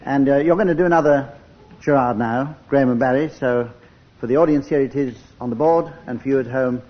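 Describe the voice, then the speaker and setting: A man hosting a radio comedy panel game in an unhurried style, in a studio with a live audience. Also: medium pitch. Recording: muffled old radio recording, faint noise.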